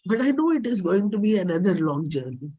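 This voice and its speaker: A performer with an Indian accent and a husky voice, giving a dramatic, deliberate reading of a personal play script. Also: medium pitch, very animated, dark tone. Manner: measured, deliberate, theatrical phrasing.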